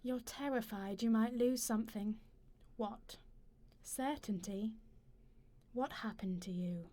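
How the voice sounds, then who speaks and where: An actress as a wronged older wife in a radio drama. Her voice sits a little high. She speaks with measured dignity, probing and accusing, with bitterness underneath. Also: mellow. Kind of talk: radio play dialogue.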